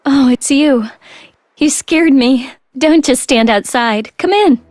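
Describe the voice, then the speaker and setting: A caring big-sister soldier. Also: female voice, high voice, takes it slowly, no echo, clean studio sound. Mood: concerned. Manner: warm, reassuring, steady. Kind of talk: English game dub.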